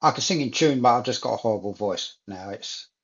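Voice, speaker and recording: A man's voice: mid-range voice, animated, fairly quick, highs cut off. A man in a casual chat, talking enthusiastically and repeating phrases for emphasis.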